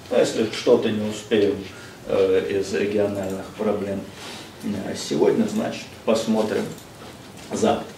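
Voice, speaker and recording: A middle-aged man seated at a table in a seminar room, giving a measured lecture with frequent hesitations and slipping in English phrases. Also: mid-range voice, mellow. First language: Russian. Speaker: visiting lecturer. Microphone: distant room mic, speaker seated across the room. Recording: echoey room, speaker far from mic, faint hiss.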